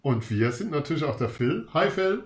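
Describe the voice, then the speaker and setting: A man's voice: on the high side, sing-song, normal speed, echoey room. A man with a cold, hosting a casual sports podcast in an upbeat, chatty way. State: has a cold. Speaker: podcast host.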